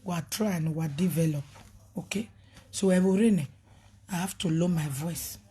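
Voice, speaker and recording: A woman's voice: lowish voice, normal speed, warm tone, a little hiss or hum. A woman, a ministry leader talking on a live video, preachy and emphatic, mixing languages. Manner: mixes pidgin, English and a local language, preachy and emphatic. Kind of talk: animated livestream talk.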